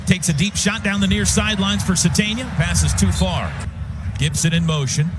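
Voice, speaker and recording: A man calling live football on the radio as play-by-play announcer, in clipped short phrases with excitable shouts on big plays. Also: high voice, moderate pace. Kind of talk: live sports play-by-play commentary. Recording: loud music bed under radio broadcast.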